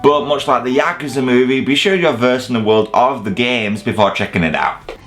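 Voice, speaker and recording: A man's voice: mid-range voice, brisk, music in the background. A man hosting a countdown review, delivering semi-scripted commentary in a jokey, casually sweary way. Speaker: video reviewer and host.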